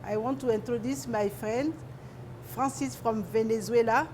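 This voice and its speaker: A middle-aged woman from Ivory Coast with an Ivorian French accent, telling her own story in halting, limited English as a native French speaker. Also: a little high, steady tone, a little mellow, a little hiss or hum, poor audio. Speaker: documentary interviewee, newcomer immigrant. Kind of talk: personal account in an interview.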